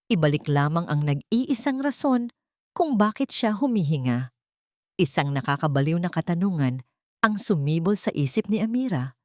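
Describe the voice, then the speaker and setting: Woman, lower-pitched voice, dramatic story narrator, solemn and melodramatic, dry close sound. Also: a little mellow, no echo.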